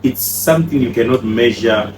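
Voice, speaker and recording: A man from Uganda, a pharmacist and company founder, answering interview questions in a calm, measured way. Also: medium pitch. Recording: echoey room, noisy background.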